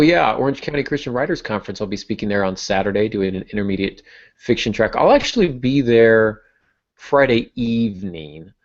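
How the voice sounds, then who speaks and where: Gravelly, low-voiced man hosting a podcast. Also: muffled recording. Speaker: podcast host. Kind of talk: casual podcast chat.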